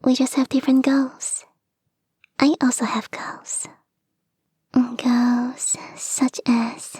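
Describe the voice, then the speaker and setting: A woman voicing a clingy young kitsune fox girl in an intimate ASMR roleplay, soft and breathy, often whispering, coaxing and sweetly insistent, close to the microphone. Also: high-pitched, no room sound, exaggerated voice. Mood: coy, confiding. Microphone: close, dry microphone.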